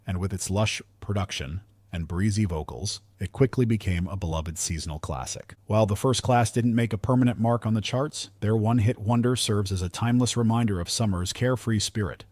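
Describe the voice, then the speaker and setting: A man's voice: low-pitched, darkish tone, no echo. A man reading a scripted music countdown as narrator, with upbeat, promotional phrasing.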